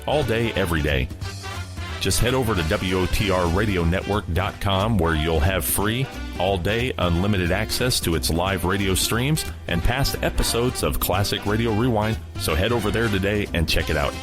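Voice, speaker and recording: A male podcast host with a very low voice. He reads scripted announcements in a radio style. Guitar music plays clearly behind him. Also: normal speed.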